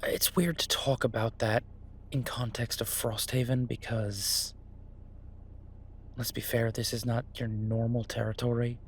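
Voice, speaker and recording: A man acts out an easygoing chef in an audio roleplay, speaking at a middling pitch. He is earnest and reassuring, chatting in halting, conversational phrases as if to a close partner. Noticeable ambient noise runs behind him. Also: little pitch movement, close to an everyday voice. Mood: casual, enthusiastic. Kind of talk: roleplay audio drama, conversational monologue to listener. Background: ambient sound effects.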